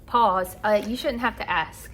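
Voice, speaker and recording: A woman's voice: mid-range voice, measured pace, fairly bright tone. Easygoing woman in her late twenties. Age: 20s. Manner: easygoing, laughs often. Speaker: podcast co-host. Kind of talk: casual chat.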